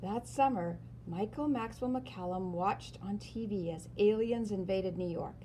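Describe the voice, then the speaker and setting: A woman reads a children's story aloud expressively, with dramatic emphasis and pauses. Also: medium pitch, warm tone, slight background noise, low-quality recording. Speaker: storyteller reading a picture book aloud.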